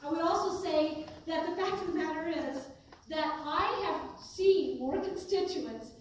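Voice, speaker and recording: Woman candidate fielding town-hall questions, higher pitch, earnest and firm; distant mic, roomy echo. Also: a little slow. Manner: earnest, conversational, emphatic when defending herself. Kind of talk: answering audience questions at a political town hall. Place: community town hall meeting room. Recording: echoey hall, voice from far off, noisy.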